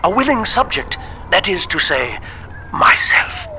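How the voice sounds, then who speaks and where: An actor playing a doomed scientist in a video game, speaking formally and politely with weary resignation. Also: male voice, high voice, huge pitch swings, unhurried, noisy background, heavily acted. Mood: grave, resigned. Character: doomed male scientist, refined, weary, courteous, resigned to death. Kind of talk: recorded message in a video game.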